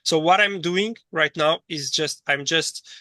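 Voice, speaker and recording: Bright, dry-voiced man lecturing, thinking aloud. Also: fairly high pitch, unhurried, no echo. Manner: hesitant, thinking aloud with repeated words. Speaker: course lecturer. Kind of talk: interactive online teaching, reading chat replies.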